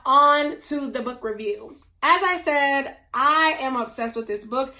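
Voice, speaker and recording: Hoarse woman doing a book review vlog, kinda high voice, gushes and stretches words out. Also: bright, forceful tone. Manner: gushing, emphatic, drawn-out stresses. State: losing her voice, hoarse. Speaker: book reviewer, channel host. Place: indoors at home, whiteboard nearby.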